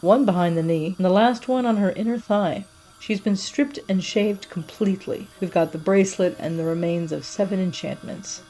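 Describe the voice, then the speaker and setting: A woman's voice: on the low side, dark tone, low-quality recording. A woman giving a cold, menacing, clinical first-person narration of a horror story. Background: music.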